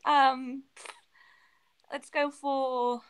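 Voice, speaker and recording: A woman's voice: high-pitched, level tone, very slow, clean tone. A woman playing an online quiz from a video call, chatting casually and hesitantly as she thinks aloud. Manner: hesitant, searching for answers. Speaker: quiz contestant.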